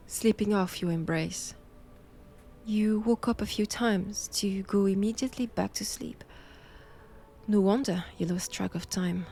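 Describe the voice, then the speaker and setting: Woman doing a french accent nun who studies vampires, solemn and sure of herself, really slow n hushed, bit of creaky fry, roleplay audio. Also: mid-range voice. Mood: cool, controlling. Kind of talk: intimate audio roleplay acting.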